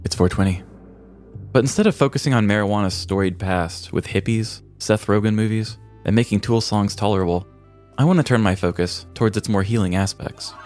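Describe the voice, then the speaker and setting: A man's voice: on the low side, fairly quick, darkish tone, faint music behind. An American man co-hosting a comedy podcast, telling stories with wry, dry humor. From American Midwest. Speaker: podcast co-host. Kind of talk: casual comic podcast talk and scripted storytelling.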